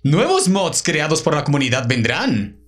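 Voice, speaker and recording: A performer voicing a friendly, earnest robot in a cartoon fandub, with a halting, simple manner. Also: medium pitch, big pitch swings, natural voice. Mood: calm, grateful. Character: friendly basketball-playing robot, earnest and gentle, virus-stricken.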